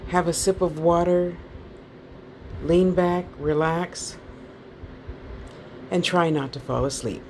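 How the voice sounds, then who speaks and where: A woman guides a meditation at a very unhurried pace in a soft-edged, mellow voice. She is soothing and informal, slipping in playful asides and repeating short phrases to lull the listener. There is some background noise on the recording. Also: lowish voice, fairly even intonation. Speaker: guided meditation class teacher. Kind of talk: guided meditation, relaxation instructions.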